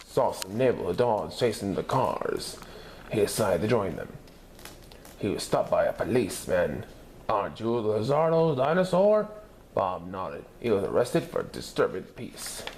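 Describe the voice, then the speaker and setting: A man reading a children's picture book aloud in an animated storytelling manner, putting on voices for characters. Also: low voice, creaky, slight background noise. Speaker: story reader.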